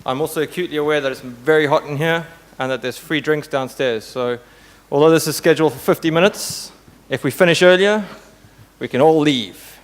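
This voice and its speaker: Man, panel moderator, casual and joking, smooth clear voice, long hall reverb. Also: fairly high pitch, fairly quick. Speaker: panel moderator, tech company CTO. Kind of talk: informal conference panel moderation. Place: indoor conference hall. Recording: hall reverb from conference room sound system.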